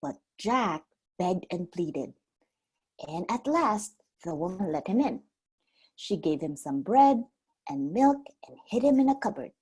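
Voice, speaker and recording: A woman reading a storybook aloud like a preschool teacher, expressive and simply worded for small children. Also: fairly low pitch, unhurried, breathy edge.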